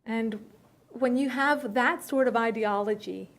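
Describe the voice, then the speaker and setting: A young adult woman answering questions at a luncheon, speaking in a measured way with filler pauses and restarts. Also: on the high side. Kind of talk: conversational Q&A talk. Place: luncheon room.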